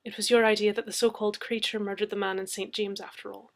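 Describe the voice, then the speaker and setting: A woman's voice: mid-range voice, level tone, quick pace, gravelly, close to an everyday voice. A woman voicing a formal, dismissive male London police detective in an audiobook. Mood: stiff, sceptical. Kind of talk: dramatic audiobook character reading.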